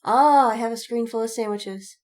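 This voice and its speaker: A woman with a slightly high voice talks casually as a podcast co-host. She retells things like a friend, acting out quotes with mock drama. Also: moderate pace, darkish tone. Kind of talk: casual fan chat, animated retelling.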